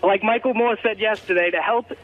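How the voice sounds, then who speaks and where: High-voiced man on a dull line. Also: fairly quick. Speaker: caller. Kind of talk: call-in radio talk.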